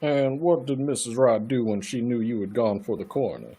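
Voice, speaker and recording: Man playing an officious county attorney, slightly husky. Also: medium pitch, lively intonation, brisk, mellow. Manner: patronizing, officious. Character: brisk, condescending county attorney questioning witnesses. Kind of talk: live radio play acting.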